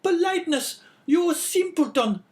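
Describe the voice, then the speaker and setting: A man reading an audiobook voices a sickly, suspicious wife in a shrill, scolding tone. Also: lively intonation, measured pace, a little mellow.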